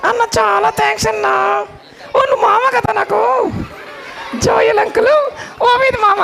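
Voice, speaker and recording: Man doing a squeaky, cheeky little girl puppet. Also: little pitch movement, normal speed, gravelly, crowd noise, heavily acted. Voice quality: squeaky falsetto child voice. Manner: playful, bossy, teasing, exclaiming to children. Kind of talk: live puppet show for children.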